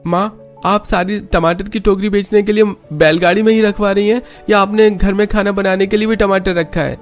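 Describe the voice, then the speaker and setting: A man's voice: sits high, fairly even intonation, normal speed, close to an everyday voice. A man playing an eager young son of a farming family in a cartoon story. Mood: earnest. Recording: music behind the voice.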